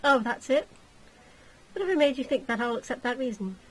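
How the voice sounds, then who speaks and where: A woman reader voicing a gentle, patient young man who soothes his fiancée in a storybook reading. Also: high-pitched, expressive, a little fast, breathy edge. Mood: pleading. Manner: soft, tender, reassuring.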